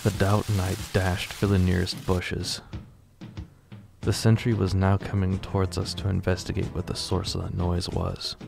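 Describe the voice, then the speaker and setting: A man narrating his own audio drama in the first person, telling it in a measured, matter-of-fact way. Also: deep voice. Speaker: narrator and writer. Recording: music clearly behind the voice. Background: guitar music.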